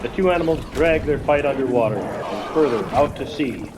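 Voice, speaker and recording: A man's voice: mid-range voice, animated, normal speed. A man giving scripted narration for a radio play as its narrator. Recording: noisy rain and storm ambience.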